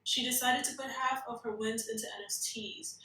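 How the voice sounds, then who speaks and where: A woman's voice: fairly high pitch, fairly even intonation, moderate pace, bright, forceful tone, distant mic. A woman playing blunt, businesslike characters in a screenplay table read. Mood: businesslike briefing. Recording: echoey room, off the mic.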